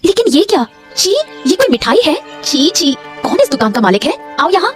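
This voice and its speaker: Woman acting a very high-pitched, animated cartoon heroine. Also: normal speed, soft background music. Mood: indignant. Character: animated storybook women: princess, wife, sweet seller. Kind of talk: Hindi moral-story cartoon voice acting.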